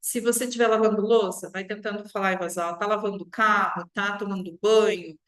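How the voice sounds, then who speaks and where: Female online language teacher, Brazilian accent, mid-pitched voice, instructive with frequent check-ins; roomy, echoing recording. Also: fairly even intonation, fairly quick, fairly bright tone. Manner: instructive, often checks in with short tag questions. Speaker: English teacher. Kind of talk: online language lesson to viewers.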